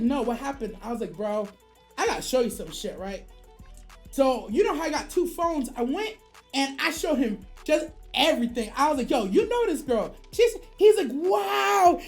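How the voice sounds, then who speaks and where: An American woman telling a story casually on a livestream, animated, profane and slangy, acting out the dialogue she quotes. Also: sits high. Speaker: streamer. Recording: music behind the voice. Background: music.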